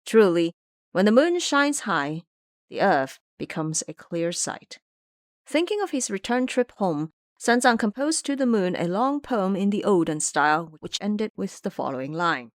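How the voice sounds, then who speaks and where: A woman narrating a scripted audio drama as a storyteller, with a dramatic, expressive delivery. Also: mid-range voice, a little slow, dry recording, clean studio sound.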